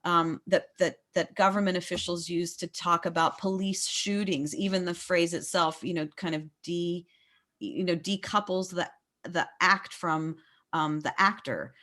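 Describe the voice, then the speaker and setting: A woman's voice: fairly low pitch, steady tone, measured pace, fairly bright tone. A woman leading a book discussion in a conversational way, often repeating words as she forms a point. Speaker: discussion leader at a book talk.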